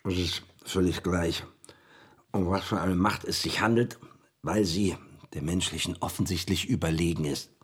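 Low rasping voice, brooding, defiant, deliberate, radio drama monologue. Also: male voice. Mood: brooding defiance. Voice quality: raspy, husky. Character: brooding, defiant man facing a superior power.

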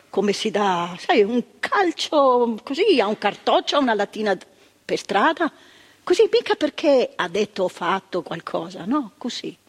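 An actress playing an older woman who once worked in a psychiatric hospital, telling her memories, dropping to hushed tones and rising to emphatic outbursts. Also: medium pitch, husky. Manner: vivid storytelling, swinging from hushed to emphatic outbursts. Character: older woman, former asylum ward worker, indignant. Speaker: monologue performer. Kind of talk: stage monologue.

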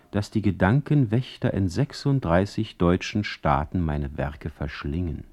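A man playing a witty exiled 19th-century poet in a radio play, voicing his letters with urbane, mock-polite irony and deliberate phrasing. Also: low-pitched, a little mellow. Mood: dry irony.

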